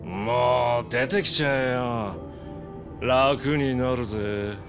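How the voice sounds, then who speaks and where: A man's voice: medium pitch, takes it slowly, music in the background, natural voice. A man playing a menacing, taunting yakuza gang boss in a Japanese video game cutscene, with a theatrical, mocking drawl.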